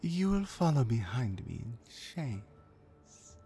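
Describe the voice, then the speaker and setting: A woman playing a dominant, mean orc warrior in an ASMR roleplay, mocking and deliberate, with drops into breathy whispers. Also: rumbling low, very animated, dark tone, soft background music, exaggerated voice. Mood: menacing. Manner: menacing, mocking, slow and deliberate, often dropping to breathy whispers. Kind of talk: ASMR roleplay, slow dramatic monologue.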